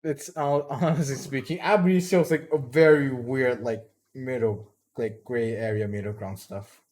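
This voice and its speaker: A man's voice: mid-range voice, fairly even intonation, normal speed, darkish tone. Young man rambling in casual chat. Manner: rambling, frequent restarts and fillers. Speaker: podcast co-host, student.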